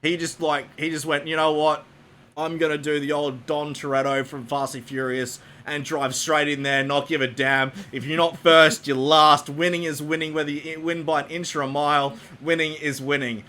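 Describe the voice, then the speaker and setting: A man's voice: on the high side, normal speed. A man chatting casually after a game, rambling with many fillers. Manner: relaxed, rambling with fillers, laughing. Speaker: esports caster. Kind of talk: casual post-match chat.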